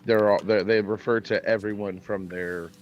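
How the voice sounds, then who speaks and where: A man's voice: on the low side, fairly even intonation, normal speed, highs cut off, lightly acted. A man playing a friendly, curious adventurer in a tabletop fantasy game, chatty and apologetic, often repeating his words. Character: friendly, polite, curious adventurer with bugbear lineage.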